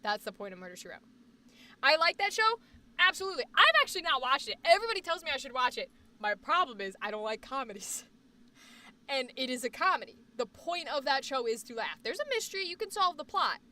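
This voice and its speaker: A woman with a high, bright voice and a slight Boston accent, chatting loosely on her livestream. She rambles and repeats words for emphasis, and sounds a little away from the mic. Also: very animated, fairly quick, distant mic. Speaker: livestream host. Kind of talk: casual chat stream.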